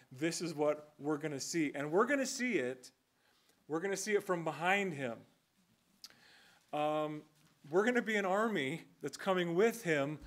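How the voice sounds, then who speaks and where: A man in his thirties preaching a conversational, earnest sermon from a pulpit in a church sanctuary. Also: a little high. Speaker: pastor. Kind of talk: expository sermon. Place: church sanctuary with a stage and band gear.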